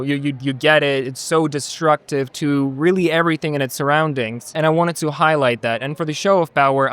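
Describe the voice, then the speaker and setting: Young male design student, slightly high, clean voice, emphatic. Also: a bit bright, smooth. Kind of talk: explaining own work in an interview.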